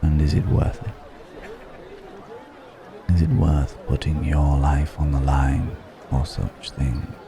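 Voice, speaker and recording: Guy doing old brooding dragon in human guise, asmr rp, super slow n flat, pensive pauses, music and crowd behind him. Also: very deep voice, vocal fry, heavily acted. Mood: quietly reproachful. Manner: deliberate, pensive, with long dramatic pauses. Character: mysterious ancient male dragon, brooding and philosophical, disguised as stranger. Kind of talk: ASMR roleplay, slow intimate dramatic monologue.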